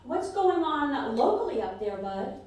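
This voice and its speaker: A woman's voice: high-pitched, big pitch swings, measured pace, darkish tone. A woman playing a chirpy, eager radio station host who presents and exclaims in a live comedy radio play. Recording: echoey live hall, distant mic.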